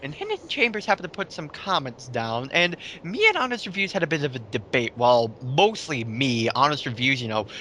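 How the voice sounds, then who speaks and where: A man's voice: on the high side, pushed and bright, slight background noise. A man co-hosting a casual commentary chat in a rambling, emphatic, sweary way. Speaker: commentary video co-host.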